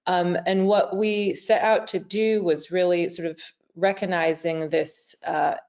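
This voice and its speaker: A woman research director presenting a report on a webinar, raspy today, measured and hedging with "sort of". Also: fairly low pitch, steady tone, a little mellow. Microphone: video call. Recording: dull lo-fi video call audio.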